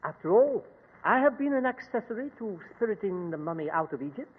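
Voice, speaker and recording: High, husky, dignified Egyptian gentleman. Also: very animated, full character voice. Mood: foreboding. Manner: formal, deliberate. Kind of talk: old-time radio drama acting. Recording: echoey, tinny old radio recording.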